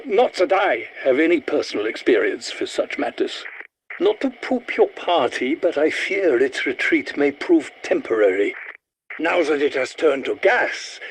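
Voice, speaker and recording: An actor playing an aging, polite but indignant German scientist in a video game, speaking in a formal, pedantic, theatrical way with a German accent. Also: male voice, on the high side, a little hiss or hum, low-quality recording. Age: older. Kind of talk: video game character voice lines.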